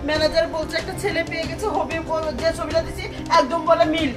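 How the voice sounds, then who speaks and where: A woman's voice: very high-pitched, steady tone, quick pace. A woman playing a rich, doting, bossy grandmother in a melodramatic web drama, exclaiming urgently and wailing. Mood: eager planning. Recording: distant mic under loud music.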